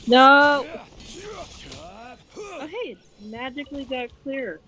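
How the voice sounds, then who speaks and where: A woman's voice: high-pitched, animated, slow. A young woman streaming a game, chatting casually and exclaiming readily as she reacts to play. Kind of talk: casual co-op gameplay chat.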